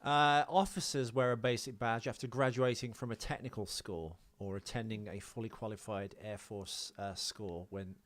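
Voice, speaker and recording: A man co-hosting a podcast, reading trivia and chatting about a film in a halting way with dry humour. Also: fairly low pitch, steady tone, a bit bright. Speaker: podcast co-host.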